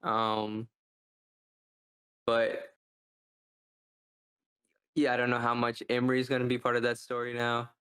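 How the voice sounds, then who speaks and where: A man's voice: medium pitch, monotone, slow, long pauses, a bit bright. A man co-hosting a podcast, talking casually in a rambling, hesitant way and often circling back on his own phrasing. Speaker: podcast co-host reviewing books. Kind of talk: casual discussion.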